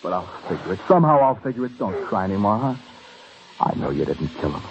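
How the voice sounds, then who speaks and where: Intense radio detective, swinging pitch. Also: male voice, big pitch swings, fast, gravelly, heavily acted. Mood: tender, weary. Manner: urgent, emotional, clipped. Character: intense, anguished police detective defending his wife. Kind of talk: mid-century radio crime drama acting. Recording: muffled, hissy old radio recording.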